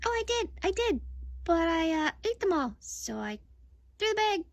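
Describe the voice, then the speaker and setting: Very high, excitable childlike puppet boy played by a woman. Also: normal speed, no echo, heavily acted. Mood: chirpy, eager. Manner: bubbly, repeats phrases.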